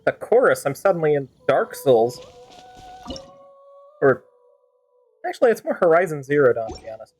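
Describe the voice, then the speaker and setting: A woman's voice: deep voice, very animated, normal speed, pauses often, a little mellow. A woman game streamer improvising wry, teasing commentary and joking replies to the characters. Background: game music.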